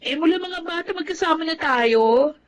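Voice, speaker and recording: A woman's voice: extremely high pitch, lively intonation, normal speed. An actress playing a mildly exasperated young woman, a close friend in a Filipino drama, with a Filipino accent. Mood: teasing, exasperated. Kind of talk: Filipino melodrama acting.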